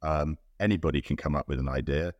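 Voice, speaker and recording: A man's voice: a bass voice, fast, darkish tone, dry recording, highs cut off. A middle-aged British man from the UK answering interview questions in a thoughtful, hesitant way, with many ums and restarts. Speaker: guest, innovation director.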